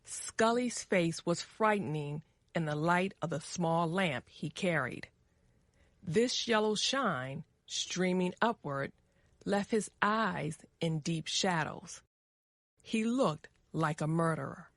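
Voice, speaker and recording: Female story narrator, lowish register, measured pace, dramatic reading for English learners. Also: lively intonation, no echo, clean studio sound.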